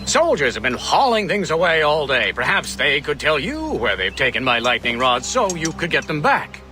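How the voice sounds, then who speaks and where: An actor playing a polite, eccentric gentleman scientist in a video game, articulate and theatrical. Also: a little high, very animated, normal speed, faint music behind. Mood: affable, fussy.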